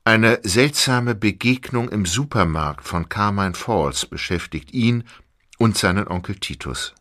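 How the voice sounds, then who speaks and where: Low-voiced male audio drama narrator. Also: lively intonation, unhurried, fairly bright tone, no echo, clean studio sound.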